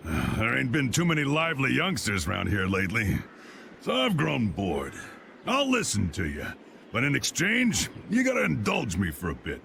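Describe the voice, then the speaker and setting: Game role: gruff old American chieftain, gravelly, folksy and commanding, very animated pitch. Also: male voice, normal speed, background noise. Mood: hearty, commanding. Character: blustery, hearty old male guild boss spoiling for a fight. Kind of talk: video game voice acting.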